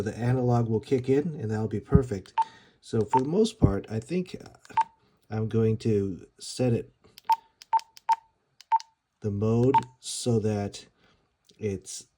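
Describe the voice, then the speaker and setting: A man's voice: medium pitch, dark tone, husky. A man reviewing gear in a casual, conversational and slightly rambling way, explaining things as he goes. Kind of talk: casual product demo talk.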